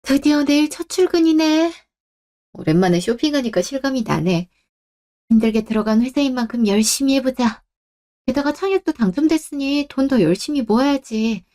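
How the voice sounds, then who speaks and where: A woman's voice: sits high, expressive, moderate pace, warm tone. A young woman voicing a wife in a radio drama, speaking politely and with restraint. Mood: cheerful. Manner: polite and restrained, turning pointed. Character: young wife, polite but standing her ground. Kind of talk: Korean radio drama acting.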